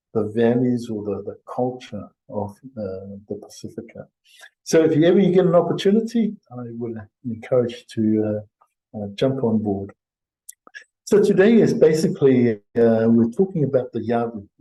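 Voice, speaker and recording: A very dark, soft-edged male voice. He presents informally, as in a webinar, pausing often and feeling his way through sentences in a conversational manner. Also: mid-range voice, rough recording. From Samoa. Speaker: health and safety presenter.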